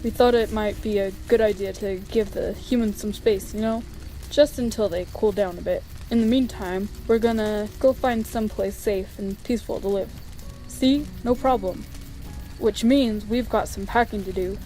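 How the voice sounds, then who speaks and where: A woman's voice: mid-range voice, fairly even intonation, normal speed, warm tone, natural voice. A woman voicing a tired, easygoing male skeleton older brother in a comic dub, speaking casually. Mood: reassuring, easygoing. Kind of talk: animated comic dub acting. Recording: music behind the voice.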